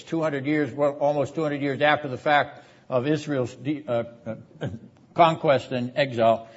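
A man lecturing on the Bible in a classroom, explaining didactically with rhetorical questions and frequent throat clearing. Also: mid-range voice. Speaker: seminary lecturer. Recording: slightly dull classroom recording.

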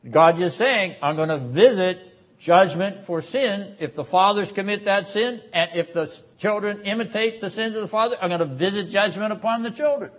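A man lecturing on the Bible in a classroom, explaining didactically with rhetorical questions and frequent throat clearing. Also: high-pitched, moderate pace, fairly bright tone. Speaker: seminary lecturer. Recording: slightly dull classroom recording.

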